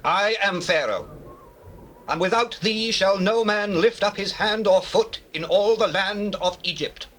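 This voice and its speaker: A man's voice: sits high, measured pace, fairly bright tone. An actor playing Pharaoh, a commanding king of Egypt, in a grand, stately declamation for a scripture drama. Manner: declamatory, stately, theatrical delivery. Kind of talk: classical dramatized scripture reading. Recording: noisy old cassette recording with background ambience.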